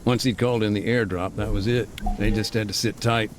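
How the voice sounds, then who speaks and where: An actor playing a middle-aged, war-weary former peacekeeper, speaking plainly with military jargon and dry humor, in a game cutscene. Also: male voice, on the low side, steady tone, fairly quick, background noise, natural voice. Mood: reflective. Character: war-weary male ex-peacekeeper telling his story. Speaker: interviewee. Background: music.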